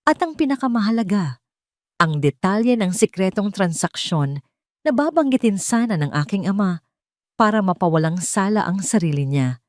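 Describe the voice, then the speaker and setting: A woman's voice: mid-range voice, very animated, moderate pace, no room sound, studio quality. A woman with a Filipino accent reading a scripted first-person dramatic story as its narrator.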